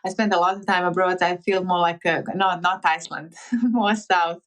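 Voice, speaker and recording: A woman from Montenegro, chatting haltingly with viewers on a piano livestream, full of fillers and restarts. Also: on the low side, steady tone, a bit bright. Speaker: livestreaming pianist. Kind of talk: casual livestream chat reading comments.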